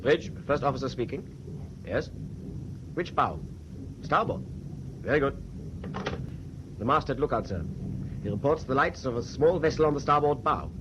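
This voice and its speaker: Man as a dutiful first officer, lively pitch. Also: normal speed, long pauses, close to an everyday voice. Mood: alert. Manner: crisp, obedient reporting. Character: dutiful, deferential first officer on a German ship. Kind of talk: radio drama acting. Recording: noisy, dull radio drama recording.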